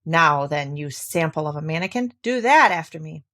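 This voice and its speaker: A woman's voice: deep voice, lively intonation, normal speed, fairly bright tone, voice pushed down. A woman voicing a huge, blustering male giant who challenges others in a storybook tale. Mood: scornful, challenging. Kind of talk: read-aloud fairy tale.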